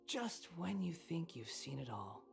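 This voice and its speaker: Sardonic fallen young rogue. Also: male voice, fairly high pitch, very animated, a little slow, gravelly, faint music behind, heavily acted. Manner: mocking, cool and cynical. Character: sardonic young man, a fallen former enforcer. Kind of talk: video game voice acting.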